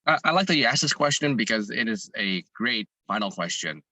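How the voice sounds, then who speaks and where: A hoarse man answers an interviewer earnestly, working his thoughts out aloud with frequent restarts. His voice is bright and forward despite the hoarseness, recorded dry and close with no room sound. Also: lowish voice, animated, a little fast, no echo, low-quality recording. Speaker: fitness content creator and business owner. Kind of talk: interview guest answering questions.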